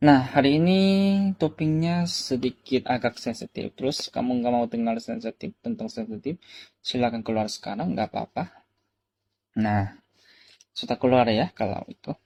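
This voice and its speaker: A man's voice: medium pitch, lively intonation, moderate pace, creaky, darkish tone. A man from South Korea with a Korean accent, a native Korean speaker, telling personal stories casually as a vlogger, in simple repeated phrases with rhetorical questions to the listener. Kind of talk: casual personal storytelling to camera.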